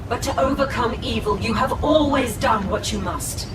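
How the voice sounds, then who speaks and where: A woman's voice: fairly high pitch, normal speed, background noise, close to an everyday voice. A woman playing a solemn, cryptic alien seer in a video game cinematic, portentous and imperious. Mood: grave prophecy. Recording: echoey, distant, under loud music.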